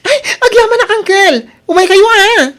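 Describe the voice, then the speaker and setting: A very high, squeaky voice with an Ilocano accent, an eager young nephew in a radio comedy-drama. He is boastful and exclaims with gusto. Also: lively intonation, normal speed, pushed and bright, full character voice. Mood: excited.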